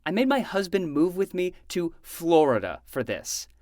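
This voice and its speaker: A woman's voice: fairly low pitch, big pitch swings, normal speed, darkish tone, no room sound, clean studio sound. A woman playing an exasperated, sarcastic male librarian who rants, in a fiction podcast. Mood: bitter, sarcastic.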